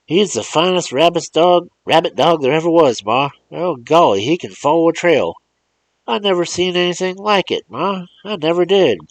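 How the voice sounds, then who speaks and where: Casting: eager backwoods boy, breathless with excitement, raised high and loud, voiced by a male storyteller. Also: normal speed, dry recording, low-quality recording. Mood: excited. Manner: excited, rural grammar.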